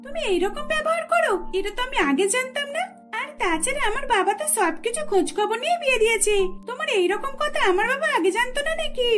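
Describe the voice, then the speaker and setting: A very shrill-pitched cartoon young bride, acted out by a woman, spoiled and greedy. She talks in a gushing, demanding way full of exclamations, with music clearly behind her. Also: animated, quick pace. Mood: indignant.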